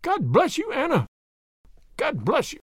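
A man voicing an old, scornful professor, a cornered villain with a theatrical, high-keyed, spluttering delivery in a storybook. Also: huge pitch swings, unhurried, fairly bright tone, no room sound, studio quality, full character voice. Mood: pleading.

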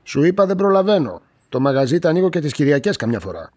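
A brusque, busy male shopkeeper in a radio play. The voice is husky and swings widely in pitch, with a curt, dismissive, defensive way of talking. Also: big pitch swings, darkish tone. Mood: defensive, irritated. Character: busy, brusque, defensive male auto-parts shop owner. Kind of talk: radio drama acting.

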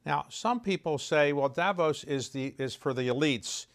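A man interviewing a guest, asking direct, measured questions. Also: a little high.

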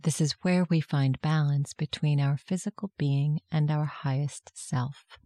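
A meditation guide giving gentle, soft-spoken, measured instructions in a scripted guided meditation. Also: medium pitch, steady tone, no room sound, studio quality.

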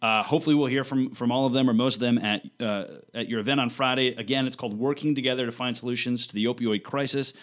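A man's voice: mid-range voice, steady tone, fast talker, hardly any pauses, fairly bright tone. Male talk radio host, mid-range voice, wordy and repetitive when he asks things. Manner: rambling, repeats words while framing questions. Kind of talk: hosting a political talk show.

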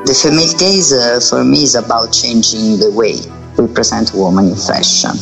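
Man, gravelly voice, halting speech, music underneath. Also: medium pitch, rough recording. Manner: slow, halting, with fillers.

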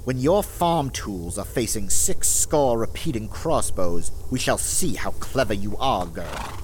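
An actor playing a haughty, indignant elf landholder in a fantasy audio drama, with a pompous manner. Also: male voice, fairly low pitch, lively intonation, measured pace, a little hiss or hum. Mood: threatening, haughty.

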